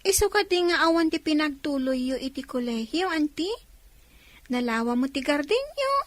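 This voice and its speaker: A woman's voice: high voice, big pitch swings, moderate pace, dry recording. A woman with an Ilocano accent playing an eager, polite young schoolgirl and little sister in a radio drama.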